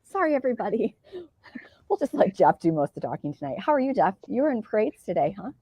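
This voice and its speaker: Hoarse woman chatting on a live stream. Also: medium pitch, sing-song, quick pace, mellow, no echo. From Michigan. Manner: chatty, laughs between phrases, many fillers. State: hoarse from a cold. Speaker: co-host of a live political chat. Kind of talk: casual live-stream chat. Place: outdoors.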